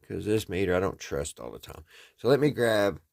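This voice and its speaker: A man's voice: deep voice, expressive, moderate pace, creaky, a little mellow, dry recording, studio quality. An American man talking casually and folksily, thinking aloud as he hosts a hands-on repair vlog. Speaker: host of a radio repair video.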